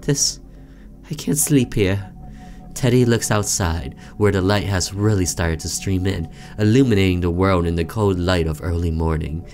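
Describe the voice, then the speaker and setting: Male gaming host, low-pitched voice, dramatic story reading with offhand asides, faint music underneath. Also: normal speed, a little mellow. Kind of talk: let's play narration, reading story text aloud with casual reactions.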